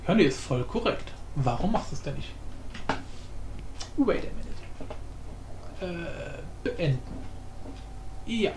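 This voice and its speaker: A man's voice: on the high side, sing-song, takes it slowly, pauses often, darkish tone. Man muttering in a roomy, echoey recording. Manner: muttering to himself, slangy. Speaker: tutorial host and hobby game programmer. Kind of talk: casual screen-recorded coding tutorial, thinking aloud. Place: indoor room, voice over screen capture. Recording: roomy echo, faint background noise.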